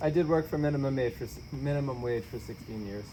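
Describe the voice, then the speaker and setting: A man's voice: medium pitch, racing, warm tone, slight background noise, rough recording. A man chatting casually as a guest and dropping dry jokes. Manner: dry, joking. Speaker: guest musician friend. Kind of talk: casual comedic chat.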